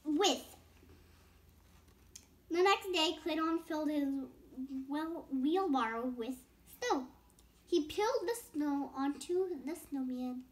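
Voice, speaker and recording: A woman's voice: sits high, expressive, slow pace, long pauses. A young girl reading a bedtime story aloud with casual explanatory asides, stumbling over words and rereading phrases. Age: child.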